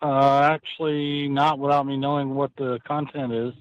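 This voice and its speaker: A man with a medium-pitched voice answers as a client in an unscripted phone conversation. He is measured and hesitant, working out his thoughts as he speaks. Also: level tone, dry recording, lo-fi sound. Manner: measured, hesitant, thinking aloud.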